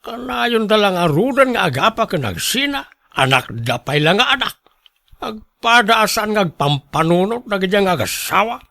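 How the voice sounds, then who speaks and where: A man's voice: high-pitched, big pitch swings, normal speed, gravelly, bright, forceful tone, heavily acted. Radio drama father: middle-aged, emphatic grumbler with a supportive streak, northern Philippine accent. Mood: exasperated.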